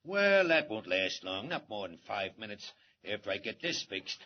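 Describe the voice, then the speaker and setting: A man's voice: lowish voice, very animated, normal speed. An actor playing a slangy, menacing male gangster henchman in a 1940s radio drama. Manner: slangy tough-guy drawl. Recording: muffled old radio recording with hiss.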